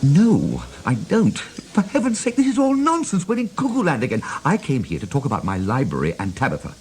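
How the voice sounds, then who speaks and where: An older male actor playing a troubled, exasperated English writer and estranged husband in a radio drama, halting, then indignant. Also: medium pitch, wildly swooping pitch, a little mellow. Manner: halting, swinging from muttering to indignant outbursts. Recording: noisy radio play scene with ambience.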